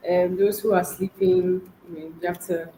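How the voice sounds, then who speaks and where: A woman's voice: on the low side, little pitch movement, moderate pace, mellow. A woman speaking as a webinar guest, friendly, explaining things with everyday examples. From Ghana. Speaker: guest panelist, customer experience associate. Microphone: online call mic. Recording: echoey room, faint noise.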